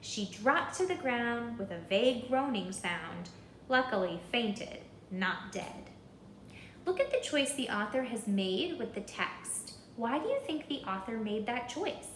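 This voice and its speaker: A woman with a fairly high, very animated voice reads a children's storybook aloud. She takes her time, deliberate and rhythmic, as if guiding young listeners. The recording is roomy and echoing. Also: a little hiss or hum. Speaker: storybook reader.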